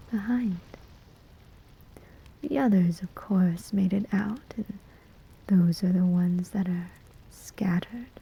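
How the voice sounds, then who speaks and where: A woman's voice: medium pitch, dull audio, full character voice. A woman playing a sly, amused demon collector of souls in a whispered, teasing ASMR roleplay. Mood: sly, curious. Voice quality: breathy, whispering. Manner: slow, teasing, deliberate pauses.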